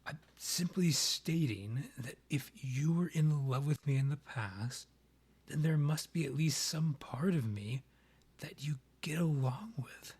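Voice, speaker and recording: A man playing a proud, guarded vampire king in a romantic ASMR roleplay, with a breathy voice often near a whisper and a formal, courtly, halting manner. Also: a little high, a little mellow. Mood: stiff, defensive.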